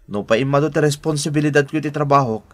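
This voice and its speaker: A man's voice: a little high, fast, close to an everyday voice. A man with an Ilocano Filipino accent playing a kind, dutiful young man in a radio drama. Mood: happy, affectionate.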